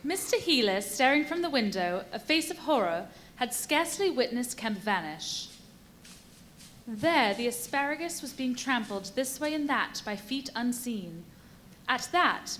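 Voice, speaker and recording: A woman narrating a suspense tale in a live radio play with measured, formal literary delivery. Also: a little high, lively intonation, fairly bright tone. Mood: measured, dramatic. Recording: live stage, faint noise.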